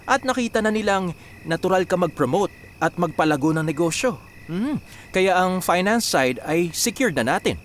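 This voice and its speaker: Confident Filipino business strategist, higher-pitched male voice. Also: lively intonation, normal speed, fairly bright tone, a little hiss or hum. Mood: confident, persuasive. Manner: explanatory, peppered with English business phrases and checking words. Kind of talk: Filipino radio drama acting.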